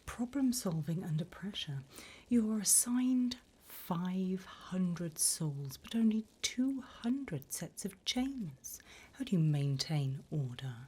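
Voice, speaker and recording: A woman voicing a polite, devilish female demon job interviewer in a whispered ASMR roleplay, with a breathy, mock-formal delivery. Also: on the low side, expressive, a little mellow, full character voice. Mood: sly, businesslike. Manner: slow, deliberate, mock-formal.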